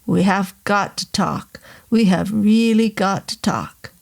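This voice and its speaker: A woman's voice: mid-range voice, slow, gravelly. A woman voicing a congenial, joking caretaker man of sixty who talks in an easy, casual way. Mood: easygoing. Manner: easygoing, joking.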